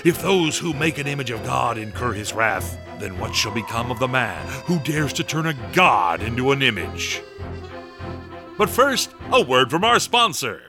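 A man's voice: mid-range voice, very animated, normal speed, over music. An actor playing a grandiose man announcing and narrating in a dramatic 1930s radio style. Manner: dramatic, emphatic. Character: grandiose male old-time radio announcer.

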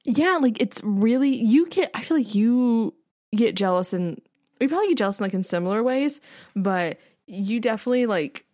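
A young woman co-hosting a casual podcast, chatting quickly and brightly, full of "like" and laughter. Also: on the high side, expressive, no room sound, clean studio sound. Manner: fast, bubbly, filler-heavy talk with lots of laughing. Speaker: podcast co-host. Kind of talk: casual chat.

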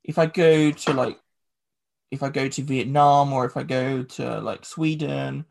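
A man's voice: medium pitch, steady tone, unhurried, gravelly, darkish tone. An English man hosting a podcast, rambling through casual chat with lots of filler words. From England. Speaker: podcast host.

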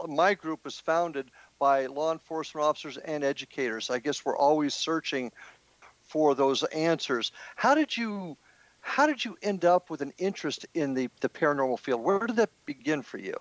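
A man's voice: a little high, expressive, normal speed, no room sound. A man hosting a radio talk show, speaking in a friendly way with fillers and restarts. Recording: dull lo-fi, dry sound.

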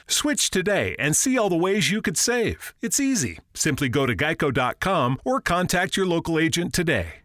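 Male commercial announcer, higher register and very varied melody, scripted read, close dry sound. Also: very animated, normal speed, few pauses, a bit bright, dry recording. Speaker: advert announcer. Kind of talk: scripted insurance ad narration.

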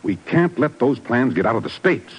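An actor playing a gruff, worried intelligence bureau chief in a 1950s radio drama, with an urgent, emphatic delivery. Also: male voice, mid-range voice, very animated, normal speed, muffled recording. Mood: alarmed, exasperated.

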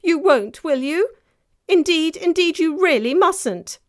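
A woman's voice: on the high side, lively intonation, a little slow, a little mellow. A woman voicing a kind, innocent little girl who speaks earnestly and anxiously in a children's storybook reading. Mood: alarmed, pleading. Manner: earnest, wide-eyed, pleading.

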